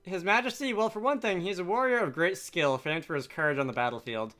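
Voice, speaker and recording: A man's voice: high voice, brisk, fairly bright tone. A man voicing an earnest, cautious young princess from a game with proper phrasing. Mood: admiring. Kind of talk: reading game dialogue aloud. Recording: game music behind.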